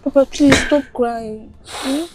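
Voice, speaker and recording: A high-voiced young Nigerian daughter. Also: female voice, slow. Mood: soothing. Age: young adult. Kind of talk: film drama acting.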